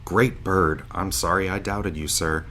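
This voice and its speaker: A man's voice: low voice, fairly even intonation, unhurried, bright, forceful tone, a little hiss or hum. A man acting in an audio drama as a jovial, bewildered starship pilot just woken from a long sleep, informal and earnest. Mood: curious. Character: jovial, bewildered starship pilot waking after seventeen years asleep.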